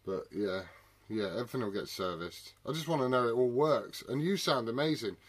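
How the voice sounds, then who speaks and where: A man's voice: mid-range voice, expressive, brisk, husky. A British man bantering casually as a CB radio operator, in an informal manner. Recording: CB radio chatter with light hiss.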